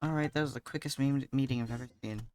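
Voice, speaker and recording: A woman's voice: very deep voice, quick pace, darkish tone. A woman streamer giving casual live reactions while playing a video game.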